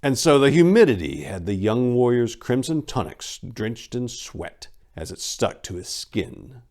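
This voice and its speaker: A man narrating a story in a dramatic, theatrical way, with deliberate phrasing and emphatic swells. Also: medium pitch, very animated, darkish tone. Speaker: podcast host and narrator.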